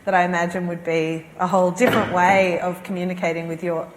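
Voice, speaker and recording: A woman with a lowish voice moderates a panel, attentive and affirming as she frames her questions. Her voice rings with long reverb from the hall. She speaks into a handheld microphone. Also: little pitch movement, fast, slight background noise. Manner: attentive, frequent affirming murmurs.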